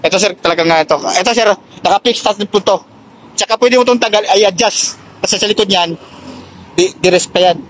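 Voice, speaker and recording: A man's voice: sits high, a little fast, a bit bright. A Filipino man chatting casually as he shows products to a customer and viewers, often addressing the customer respectfully. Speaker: motorcycle accessories seller and vlogger. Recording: noisy location with vehicle sounds.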